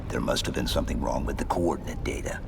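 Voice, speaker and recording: An actor voicing a stoic, terse male super-soldier in a video game cutscene, deadpan. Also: extremely low pitch, fast, vocal fry, music in the background, heavily acted. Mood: calm terse. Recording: voice over loud game score.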